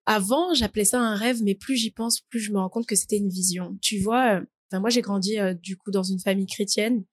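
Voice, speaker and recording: A young woman in her twenties, from French Guiana, talks casually as a podcast guest. She hesitates often and pads her sentences with small fillers. Her voice has a mid-range pitch and a dry, close sound. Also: a little fast, breathy edge, no echo. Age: 20s. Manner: hesitant, many "euh" and "du coup", laughs easily. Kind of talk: casual interview talk.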